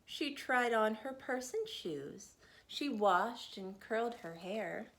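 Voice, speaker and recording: Slow, gentle woman reading a picture book. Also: fairly high pitch, lively intonation, slight background noise. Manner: slow, gentle, rhyming storytelling cadence. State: tired. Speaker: mother reading aloud for children's classes. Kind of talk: picture-book read-aloud.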